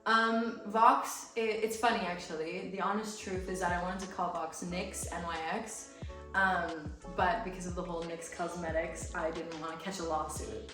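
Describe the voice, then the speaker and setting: A young American woman, raised speaking Romanian, chatting and laughing through fan questions in a vlog. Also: fairly low pitch. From United States. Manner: bubbly, chatty, laughs often. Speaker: fitness creator. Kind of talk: casual Q&A vlog. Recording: echoey room with music from a distance.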